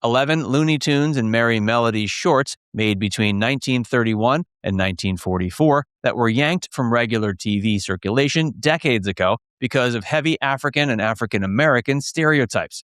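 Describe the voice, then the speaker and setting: A man narrates a scripted documentary piece in a mid-pitched voice. The sound is close and very dry, like a studio voice-over. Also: fairly bright tone, no echo.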